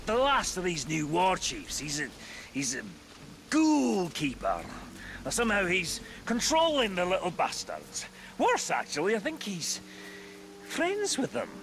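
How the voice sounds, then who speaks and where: A squeamish, scheming dwarf hunter from a fantasy video game. His voice sits fairly high and swings wildly up and down. He grumbles theatrically with halting, drawn-out emphasis, over noticeable background noise. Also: wildly swooping pitch, a bit bright, heavily acted. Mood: disgusted ranting. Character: gruff, squeamish but scheming dwarf hunter, comically exasperated. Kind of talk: video game cutscene acting.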